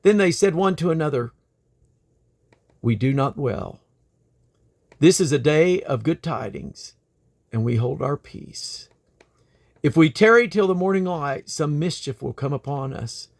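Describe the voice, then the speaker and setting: A man reading the Bible aloud in a creaky voice, delivered deliberately, phrase by phrase, with pauses. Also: a little high, expressive. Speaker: Bible reader. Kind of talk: scripture reading aloud from the King James Bible.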